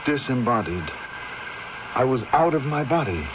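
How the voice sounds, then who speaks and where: An actor playing a weak, dying, bedridden man, wistful and resigned, speaking faintly and haltingly in 1970s radio drama style. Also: mid-range voice, sing-song, close to an everyday voice. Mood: dazed, weak. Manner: slow, faint, halting speech. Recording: dull, noisy 1970s radio drama recording.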